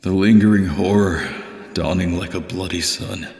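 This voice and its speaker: Deep, gravelly, brooding scholar acted by a man. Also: fairly even intonation, darkish tone. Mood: dread, grave. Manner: measured, archaic, poetic diction. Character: brooding, wistful male inventor and scholar reading his journal. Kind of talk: theatrical dramatic monologue, fandub narration. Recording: echoey, reverberant voice-over.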